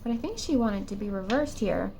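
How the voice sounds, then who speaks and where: A woman's voice: mid-range voice, lively intonation, fairly quick, creaky, a little mellow, a little hiss or hum. A woman giving a pick-a-card tarot reading, her voice still affected by a cold, musing hesitantly with many fillers and restarts. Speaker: tarot reader.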